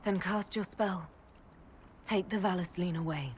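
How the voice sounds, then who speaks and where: An actress playing a proud, wounded young elf mage in love, speaking earnestly and directly in a video game. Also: female voice, lowish voice, unhurried, a little hiss or hum, lightly acted. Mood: resolute. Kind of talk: video game cutscene acting.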